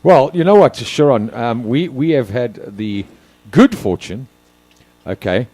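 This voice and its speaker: Lively, jokey radio co-host. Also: male voice, medium pitch, unhurried, no room sound. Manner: jokey, chatty. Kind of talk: live radio interview.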